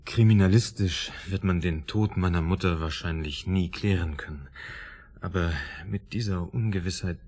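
A man's voice: deep voice, normal speed, a little mellow. An actor playing a troubled, guilt-ridden male writer in a German radio drama, speaking in an earnest, insistent, articulate way. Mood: pleading.

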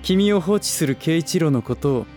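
Man acting a lilting, smooth-tongued schemer. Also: fairly high pitch, lively intonation, normal speed, faint music behind, lightly acted. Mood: smooth, needling. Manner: polite, gentle phrasing with teasing condescension. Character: smooth, scheming adult male relative and businessman, teasing. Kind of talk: Japanese voice drama acting.

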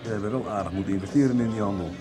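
An actor playing a hard, scornful criminal in a radio soap, speaking in a blunt, dismissive, sardonic way. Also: male voice, on the low side, rapid-fire, music in the background, a crowd in the background, natural voice. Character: hard, scornful criminal man planning to flee abroad. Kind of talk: 1990s Dutch radio soap acting.

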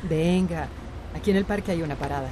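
A woman's voice: low-pitched, fast talker, background noise, natural voice. An actress playing an independent woman strained by divorce in a Latin American Spanish radio soap opera. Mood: friendly, helpful. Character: Amanda, independent, distressed woman going through divorce.

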